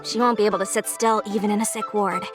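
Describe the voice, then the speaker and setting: A woman's voice: medium pitch, flat delivery, fast talker, over music, natural voice. A woman playing a friendly, confident and eager young heroine in a video game. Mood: friendly, concerned. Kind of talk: video game dialogue acting.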